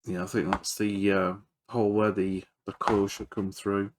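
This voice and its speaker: A man's voice: on the low side, level tone, moderate pace, background noise. A man giving casual, hands-on repair commentary as he works, hesitant and thinking aloud. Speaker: electronics repairer presenting a video.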